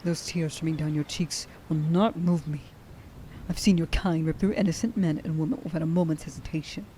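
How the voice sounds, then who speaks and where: A woman playing a stern, grieving huntress in a dramatic ASMR roleplay monologue, halting and intense in her delivery. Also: deep voice, fairly even intonation, warm tone, slight background noise, close to an everyday voice. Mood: angry, agitated. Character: stern, grieving young huntress who hates werewolves.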